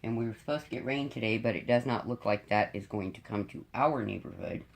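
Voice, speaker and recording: A middle-aged woman with a cold, sounding raspy, deep and congested, chatting casually to her viewers from home. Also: a little fast. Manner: chatty, rambling, frank. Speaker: diamond painting YouTuber.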